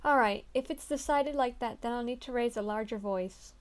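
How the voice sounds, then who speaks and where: A woman's voice: fairly high pitch, moderate pace. A young woman playing through a story game, reading the text aloud earnestly and breaking off into crude, swearing jokes.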